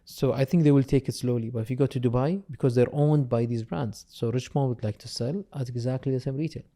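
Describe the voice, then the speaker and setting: Male host, mellow warm tone, middle pitch, casual talk, frequent restarts. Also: quick pace. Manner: conversational, restarts sentences often. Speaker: podcast host. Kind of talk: casual interview chat.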